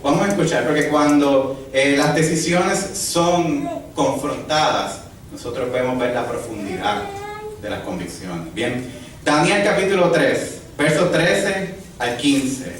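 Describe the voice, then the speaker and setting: Puerto Rican male preacher, mid-pitched, mic set back in a reverberant church with a faint hum behind. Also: measured pace. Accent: Puerto Rican Spanish. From Puerto Rico. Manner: rhetorical questions, lively emphasis. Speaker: pastor. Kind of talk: sermon. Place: church hall. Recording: echoey hall, distant mic, faint ambience.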